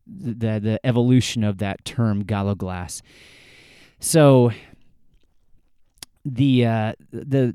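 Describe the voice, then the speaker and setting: A man hosting a history podcast, talking at a measured pace and explaining things step by step, with frequent restarts. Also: medium pitch, warm tone, dry recording, clean studio sound. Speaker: podcast host. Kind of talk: solo history podcast talk.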